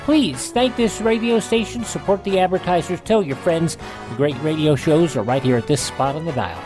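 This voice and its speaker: A man's voice: a little high, expressive, normal speed, rough recording. A man hosting a radio show, giving a courteous, folksy scripted sign-off with casual asides. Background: music.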